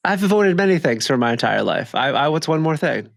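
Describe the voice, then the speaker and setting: A man's voice: on the high side, big pitch swings, brisk, gravelly. A man co-hosting a podcast in casual comedic banter, riffing in a wry, mock-serious way. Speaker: podcast co-host. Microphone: close podcast microphone.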